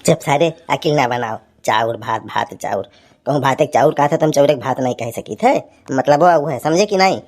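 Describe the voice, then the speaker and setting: Woman acting low-voiced, grumbling Awadhi village husband. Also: lively intonation, normal speed, darkish tone. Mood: grumbling, scolding. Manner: rambling, grumbling, colloquial. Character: harried, pleading village husband and uncle, grumbling and talkative. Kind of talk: cartoon comedy dialogue.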